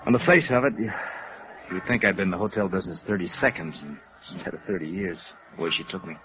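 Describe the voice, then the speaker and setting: An American actor playing a middle-aged, chagrined hotel operator in a 1950s radio drama, rueful and emphatic. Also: male voice, low voice, quick pace, lightly acted. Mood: rueful, exasperated. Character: chagrined, rueful gray-haired hotel operator, thirty years in business. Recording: dull vintage radio recording.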